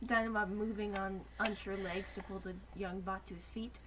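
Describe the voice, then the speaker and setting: A woman's voice: medium pitch, fairly even intonation, normal speed. A young woman narrating a story as an amateur dramatic reading, with joking asides. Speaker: narrator of a fanfiction. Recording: noticeable background noise.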